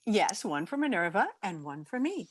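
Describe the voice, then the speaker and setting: A woman's voice: mid-range voice, very animated, normal speed, background noise, natural voice. A woman playing a wry, wisecracking single woman with dry, sardonic delivery in an old-time radio comedy. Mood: dry teasing. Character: Miss Brooks, wry, wisecracking single woman, a cat owner.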